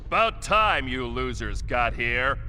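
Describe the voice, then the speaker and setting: A man's voice: mid-range voice, very animated, slow, pushed and bright, in a large hall, a little hiss or hum, full character voice. An actor voicing a boastful male villain in a video game, taunting in a cocky manner.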